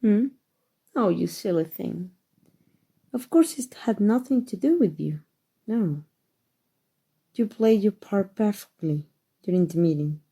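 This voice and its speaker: A woman playing a powerful, aristocratic vampire in an ASMR roleplay, with formal, measured, protective speech. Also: medium pitch, expressive, dark tone, slightly rough. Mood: worried, reassuring.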